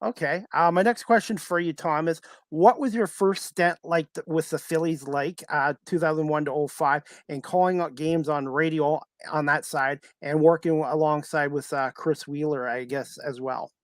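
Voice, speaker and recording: A man's voice: a little high, fairly even intonation, moderate pace, hardly any pauses, dry recording, studio quality. A man with a Canadian accent, hosting a sports talk show and putting questions to a guest in a casual way.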